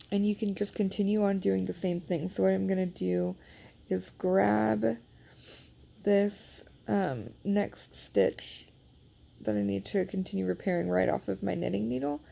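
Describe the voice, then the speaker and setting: A woman's voice: mid-range voice, fairly even intonation, unhurried, creaky, warm tone. A woman demonstrating a knitting repair in a chatty, self-deprecating way, congested and sniffling, recorded on a phone. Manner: chatty and self-deprecating, many fillers, apologetic. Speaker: knitting instructor. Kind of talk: casual how-to demonstration. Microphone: phone held nearby.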